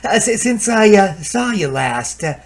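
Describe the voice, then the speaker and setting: A man's voice: high-pitched, very animated, unhurried, vocal fry, crowd noise, heavily acted. A man playing a flustered, henpecked repairman who stammers nervously in an old-time radio comedy.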